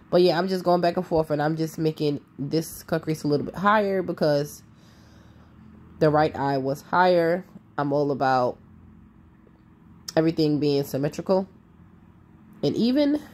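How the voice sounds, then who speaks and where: Sassy, nasal woman with a low, mellow voice. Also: a little hiss or hum. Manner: sassy, emphatic, talks to viewers as "girl". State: nasal from a cold. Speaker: makeup artist. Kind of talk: voice-over narration of a makeup tutorial.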